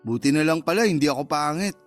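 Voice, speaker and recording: A man playing a smooth, bantering online romancer in a radio drama, speaking in a casual, coy, teasing way. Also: on the high side, fast talker, soft background music, close to an everyday voice. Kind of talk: Tagalog radio drama dialogue.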